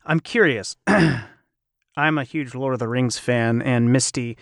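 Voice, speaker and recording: A man's voice: medium pitch, slow pace, vocal fry, no echo. A man hosting a casual chat in a playful, joking, nerdy manner, recorded on a close mic. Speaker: host. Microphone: close studio mic on a video call.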